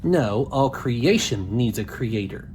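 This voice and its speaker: A man's voice: medium pitch, lively intonation, takes it slowly, darkish tone. Noisy recording of a male puppet show host. Middle register, blunt and assertive, delivering religious rebuttals.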